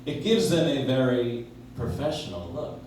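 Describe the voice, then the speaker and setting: Animated male old-time radio announcer, echoey. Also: medium pitch, moderate pace. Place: live stage in a coffee shop. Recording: very echoey room, distant, faint noise.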